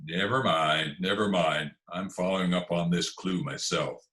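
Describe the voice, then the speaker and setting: A man playing a gruff, impatient, sardonic detective in an old-time radio comedy-mystery, brusque and deadpan. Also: low-pitched, normal speed. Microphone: video call. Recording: roomy video call recording.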